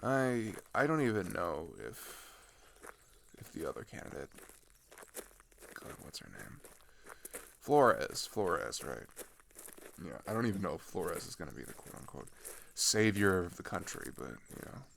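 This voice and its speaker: A man's voice: low-pitched, very animated, a little slow, creaky, pauses often, fairly bright tone, a little hiss or hum, exaggerated voice. A man playing an earnest, disillusioned teenage traveler in an ASMR roleplay, talking hesitantly and conversationally, often trailing off. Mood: exasperated. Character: chatty, earnest, disillusioned male teenager on a journey. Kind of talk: ASMR roleplay, naturalistic improvised-sounding monologue.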